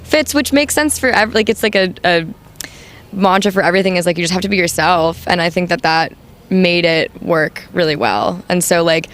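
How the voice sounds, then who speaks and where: A young adult woman with a high voice, the band's new singer, answering interview questions casually with many "like"s and "um"s. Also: moderate pace, a bit bright. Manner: chatty, full of "like" and "um".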